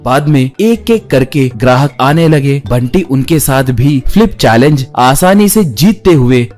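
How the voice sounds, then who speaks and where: A man's voice: medium pitch, animated, a little slow, a little mellow. Male cartoon story narrator over music.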